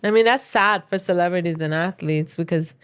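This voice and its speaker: A woman's voice: on the low side, a little fast, clean tone. A woman co-hosting a podcast in casual chatty talk, opinionated and emphatic as she gives advice. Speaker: podcast co-host.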